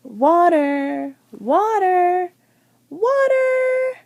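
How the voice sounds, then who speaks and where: A woman's voice: extremely high pitch, big pitch swings, extremely slow, darkish tone, no echo, clean studio sound, pitched above the performer's own voice, full character voice. A woman voicing a self-doubting but willing young schoolgirl in a storybook, speaking earnestly and simply. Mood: concentrating.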